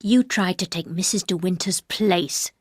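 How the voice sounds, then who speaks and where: Woman reader doing a harsh, cold older housekeeper; low, slow and accusing, dry close sound. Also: breathy edge, no echo.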